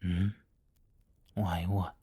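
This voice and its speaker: A man playing a possessive, teasing vampire king in an intimate ASMR roleplay, commanding and breathy, often slipping into whispers on a close mic. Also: deep voice, flat delivery, drawn-out words, warm tone, exaggerated voice. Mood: teasing, amused. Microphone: close microphone, dry.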